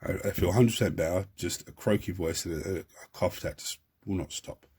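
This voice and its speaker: Hoarse, croaky hobby host. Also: male voice, low voice, brisk, a little mellow. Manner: chatty, rambling, repeats and restarts words. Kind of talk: model-building tutorial talk.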